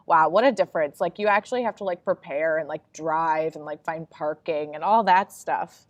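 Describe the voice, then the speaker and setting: A woman's voice: low voice, moderate pace, no room sound. A woman co-hosting a podcast in casual, conversational talk. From New York. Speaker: podcast co-host. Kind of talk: casual chat.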